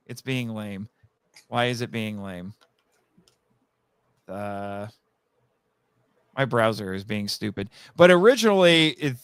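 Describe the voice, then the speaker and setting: A man chatting casually as a guest on a live podcast, telling stories in a rambling, animated way and acting out quoted remarks. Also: medium pitch, smooth, no echo, clean studio sound. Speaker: guest, audio drama writer.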